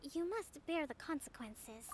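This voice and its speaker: A high, clear-spoken young priestess from a video game. She is formal and composed, choosing her words carefully, and stays courteous while holding firm. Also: expressive, darkish tone, slight background noise. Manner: formal, measured, articulate. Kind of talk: scripted video game dialogue.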